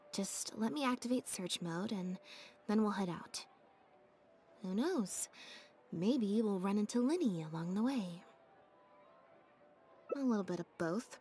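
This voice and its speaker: Reserved, soft-spoken young woman played by an actress. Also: medium pitch, slight background noise, lightly acted. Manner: understated, measured, soft-spoken. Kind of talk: scripted video game voice acting. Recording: game audio under clear music. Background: background music.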